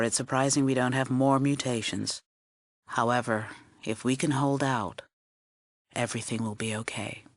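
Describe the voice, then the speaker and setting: A woman's voice: very deep voice, no room sound. A middle-aged woman playing a stern, learned scribe in a video game, with a formal, aloof and curt manner. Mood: instructive, measured. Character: stern, learned female scribe of a post-war order, aloof. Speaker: game character. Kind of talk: video game character dialogue.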